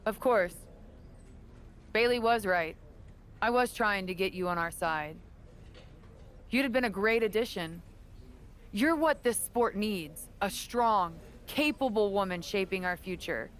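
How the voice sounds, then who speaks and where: A woman playing a proud veteran wrestling champion and earnest mentor in a video game cutscene, speaking in a measured, assured way. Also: medium pitch, slight background noise, close to an everyday voice. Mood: earnest, conciliatory.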